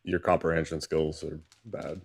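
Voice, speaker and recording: Male political stream co-host, deep register, condescending and dry, halting delivery in an argumentative exchange. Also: flat delivery, creaky, husky, no room sound. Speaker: live show co-host. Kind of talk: political call-in debate.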